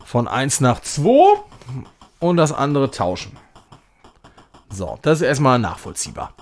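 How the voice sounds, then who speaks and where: A man's voice: medium pitch, wildly swooping pitch, unhurried. A man giving casual live game commentary as a streamer, thinking aloud in short muttered phrases. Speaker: streamer playing a retro puzzle game.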